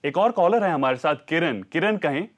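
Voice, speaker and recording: A man's voice: on the high side, animated, normal speed. A man hosting a health call-in show, presenting and putting questions to a guest. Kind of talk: TV presenting and interviewing.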